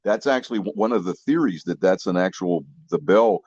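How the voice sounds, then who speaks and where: Deep raspy guy chatting on a podcast, easygoing and agreeable, muffled lo-fi sound. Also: sing-song, brisk, darkish tone, no echo. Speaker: podcast co-host. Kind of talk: casual chat. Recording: dull, muffled lo-fi audio.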